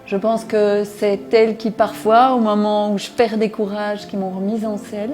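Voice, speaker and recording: A woman's voice: medium pitch, level tone, normal speed, a little mellow, soft background music. A woman writer speaking earnestly about solidarity in an interview. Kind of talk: reflective interview testimony.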